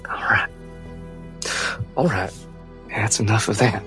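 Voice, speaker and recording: A woman voicing a gruff, weary older man who speaks slowly and haltingly. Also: extremely low pitch, over music, voice pushed down. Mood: weary, resolute.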